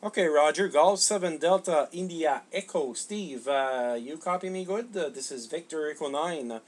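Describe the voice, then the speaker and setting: A middle-aged man from Canada talking as an amateur radio operator in a halting, polite way. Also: on the high side, a little hiss or hum. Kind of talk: unscripted ham radio exchange. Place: small home radio room.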